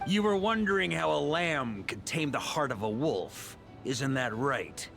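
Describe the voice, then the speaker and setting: A man's voice: medium pitch, lively intonation, unhurried, gravelly. A man playing a bold, wry knight warrior in a video game, with resolute, theatrical delivery. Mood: defiant. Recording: loud music behind the voice.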